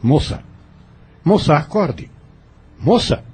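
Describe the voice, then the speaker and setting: A man's voice: on the high side, very animated, takes it slowly, a little mellow, husky, heavily acted. A man playing a brusque, formal, commanding master of a colonial plantation house in an audio drama. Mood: urgent.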